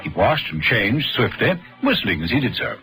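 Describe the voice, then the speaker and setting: Expressive male narrator over music. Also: lowish voice, normal speed. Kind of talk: dramatic scripted narration.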